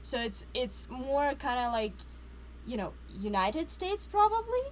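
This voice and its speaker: A woman from Ukraine, a guest in an interview, talking casually and pausing a lot as she searches for words. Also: sits high, very animated, slight background noise. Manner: searching for words, many fillers.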